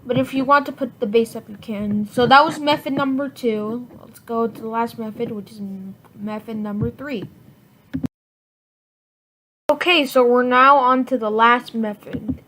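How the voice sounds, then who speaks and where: High-voiced woman, noisy tutorial recording. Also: steady tone, unhurried, a bit bright. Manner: informal, rambling, self-correcting. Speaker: software tutorial host. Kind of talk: casual screen-recorded tutorial, unscripted. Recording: noisy home recording with clicks.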